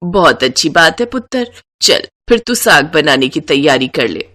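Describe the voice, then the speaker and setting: महिला की निभाई नीची आवाज़ वाली पंजाबी सास. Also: female voice, sits low, big pitch swings, moderate pace, pushed and bright, voice pushed down, heavily acted. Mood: kindly instructing. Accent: Punjabi. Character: kindly middle-aged Punjabi mother-in-law, affectionate and approving.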